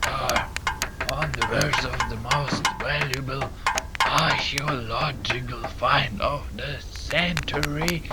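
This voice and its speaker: Loud clicky noise, man acting as obsessed older archaeologist, slow, insistent, mid-pitched, audio drama. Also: lightly acted. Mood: indignant. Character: stubborn, obsessed older male archaeologist with weak heart. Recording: noisy track with clicks.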